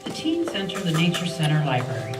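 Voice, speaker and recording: A woman's voice: deep voice, huge pitch swings, brisk. A woman reading scripted narration for a radio play. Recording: echoey stage, distant mic, loud music behind.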